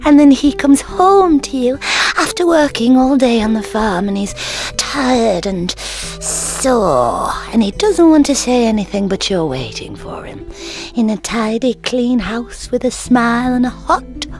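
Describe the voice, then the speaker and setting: Dreamy lovestruck goddess, high voice, slow drawn-out delivery, gushy and fluttery, comedy radio play. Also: female voice, very animated, a little mellow, faint music behind. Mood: dreamy, wistful. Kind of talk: comedy audio drama acting.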